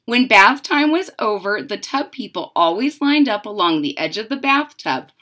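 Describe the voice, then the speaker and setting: A woman's voice: medium pitch, sing-song, normal speed, fairly bright tone. A woman in her thirties or forties reading a children's picture book aloud, with dramatic emphasis and chatty asides. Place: room at home.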